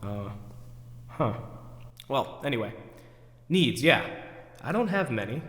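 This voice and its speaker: A man's voice: medium pitch, sing-song, slow pace, fairly bright tone. A man acting out the theatrical internal monologue of an overwrought, neurotic man who questions himself, recorded in an echoey hall. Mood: searching, unsure. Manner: ranting, then talking himself down. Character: overwrought, neurotic man agonizing over forgotten groceries, self-questioning. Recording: echoey hall with long reverb.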